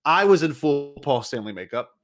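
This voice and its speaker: Higher-pitched man hosting a jokey podcast. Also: expressive, moderate pace. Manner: rambling, jokey, playfully hyping. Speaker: podcast host. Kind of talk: casual joking podcast chat.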